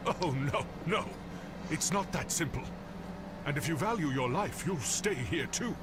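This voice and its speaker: An actor playing a cursed, anxious would-be knight in a video game, speaking with a gloomy, doom-laden delivery. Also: male voice, fairly high pitch, expressive, a little slow. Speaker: game character. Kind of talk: voiced game dialogue. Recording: game audio with clear background noise.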